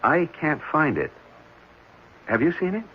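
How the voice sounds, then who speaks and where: An actor playing a gentle, earnest married foster father in a 1970s radio drama, speaking hesitantly and reassuringly. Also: fairly high pitch, huge pitch swings, unhurried. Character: gentle, caring married man fostering an orphan boy. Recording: muffled, noisy old radio broadcast.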